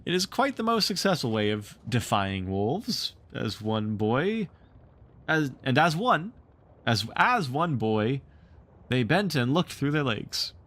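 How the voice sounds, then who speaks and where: A man reading a story aloud as an expressive narrator, shaping each sentence for listeners. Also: medium pitch, takes it slowly.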